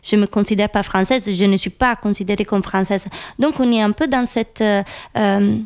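A woman's voice: medium pitch, steady tone, normal speed, smooth. A woman from Italy with an Italian accent, an interviewer posing a long, earnest question with hesitant fillers. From Apulia, Italy. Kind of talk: conversational question.

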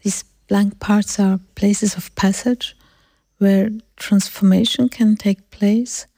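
A woman speaking English as a second language in a very mellow, relaxed voice, close and dry. They take their time over reflective talk about art, halting to find the right word. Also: mid-range voice, no room sound. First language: not English. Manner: halting, searching for words. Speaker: artist in conversation.

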